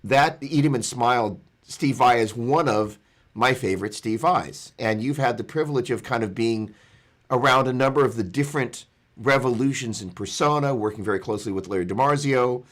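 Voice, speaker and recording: A man hosting a panel over a video call, talking loosely and pausing to gather his thoughts. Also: mid-range voice, fairly bright tone. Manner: loose, hesitant, stops to think mid-sentence. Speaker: host.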